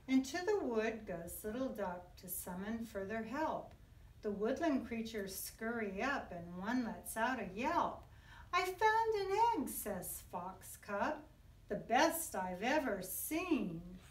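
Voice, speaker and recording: A middle-aged woman reads a picture book to young children with a very lively, sing-song delivery. She takes her time over each phrase, like a teacher telling a story. The room echoes around her voice, picked up from a short distance. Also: fairly high pitch. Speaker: kindergarten teacher. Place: indoor classroom. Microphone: camera a few feet away. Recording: roomy echo, distant microphone.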